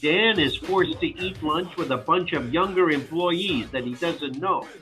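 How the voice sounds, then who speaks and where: A man's voice: a little high, measured pace, sharp tone, faint music behind. A man narrating the stage directions of a screenplay at a table read.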